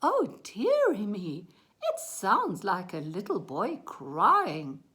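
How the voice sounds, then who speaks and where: A woman's voice: medium pitch, huge pitch swings, slow pace, fairly bright tone, exaggerated voice. A woman voicing a kindly, worried stallion who draws out neighing words in a children's story. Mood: concerned.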